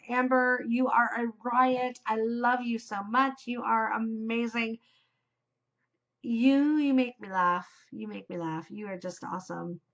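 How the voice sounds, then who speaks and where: Loud, upbeat woman knitting vlogger. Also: on the high side. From Canada. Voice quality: loud, enthusiastic. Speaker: knitting podcast host. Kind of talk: casual chat. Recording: slightly dull home recording.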